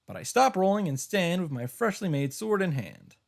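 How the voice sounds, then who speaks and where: Animated man narrating with theatrical flair. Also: high voice, gravelly. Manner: theatrical, halting reading with dramatic emphasis. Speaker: streamer reading a visual novel aloud. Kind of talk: dramatic first-person narration read aloud.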